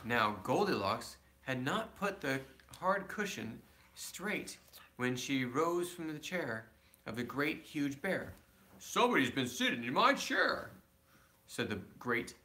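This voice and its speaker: A man's voice: mid-range voice, takes it slowly, sharp tone. A man reading a children's storybook aloud as a father, adding simple, casual asides to his child.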